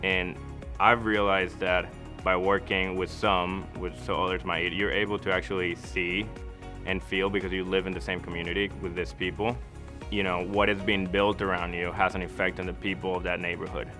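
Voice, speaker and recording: A man's voice: low voice, level tone, moderate pace. A man from Costa Rica speaking earnestly and reflectively in an interview testimonial, as an architect. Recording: music behind the voice. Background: background music.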